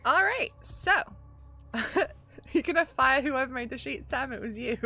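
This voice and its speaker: A woman's voice: high voice, lively intonation, unhurried, gravelly, pushed and bright, faint music behind. A woman acting as game master on a stream, reading out rules and options with an upbeat, laughing manner. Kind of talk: running a tabletop game over a stream.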